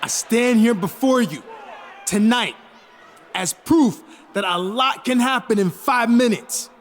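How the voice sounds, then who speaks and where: Cocky young wrestling champ, high voice, defiant promo speech, light crowd behind. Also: male voice, slow pace. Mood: proud, defiant. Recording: faint crowd and noise behind. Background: faint crowd.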